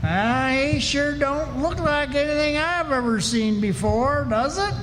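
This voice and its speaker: A man's voice: very high-pitched, unhurried, fairly bright tone. A man playing a folksy, wisecracking townsperson of the Old West in a live radio play. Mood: incredulous, wry. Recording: echoey hall with faint noise.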